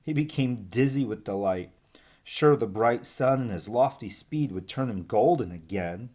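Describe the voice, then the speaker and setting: A man reads a children's story aloud in an expressive storyteller's style. His voice sits at an average pitch and moves up and down with lots of animation. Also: moderate pace.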